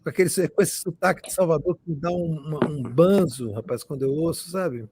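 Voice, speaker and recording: A man's voice: a little high, brisk, crowd noise. A Brazilian man hosting a political live stream, chatty and effusive in thanking his guests. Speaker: host.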